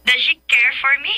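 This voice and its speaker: An actress with a Cebuano accent playing a tipsy, bitter, lovelorn young woman in a radio drama, blunt and defiant, mixing in English. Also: high-pitched.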